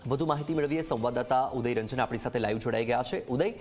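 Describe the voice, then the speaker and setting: Male news anchor, mid-pitched voice, live bulletin delivery over background music. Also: fast, a little mellow. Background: music bed under the voice.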